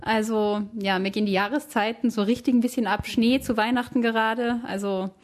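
A woman's voice: fairly high pitch, fairly even intonation, brisk. Chatty woman, light Austrian accent. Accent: Austrian, Tyrolean-tinged standard German. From Austria, Tyrol. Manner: chatty, self-correcting, many fillers. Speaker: German teacher abroad. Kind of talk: casual interview answers.

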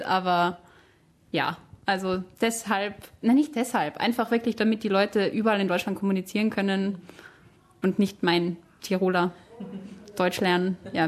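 A young Austrian woman with a soft Tyrolean color in her standard German. She answers questions in a relaxed, chatty way, with fillers and quick self-corrections, at an ordinary mid pitch. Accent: Austrian, Tyrolean-tinged standard German. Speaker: German teacher abroad. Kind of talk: casual interview answers.

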